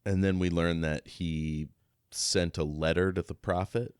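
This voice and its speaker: Deep, unhurried man podcast co-host. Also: fairly even intonation, darkish tone, clear, resonant tone, no echo, clean studio sound. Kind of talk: casual book discussion chat.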